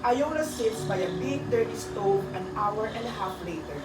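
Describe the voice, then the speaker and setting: A woman narrating a radio drama in a deliberate, solemn storytelling manner, reading a dramatic script. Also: medium pitch, expressive, over loud music, lightly acted. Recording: echoey, distant, very noisy.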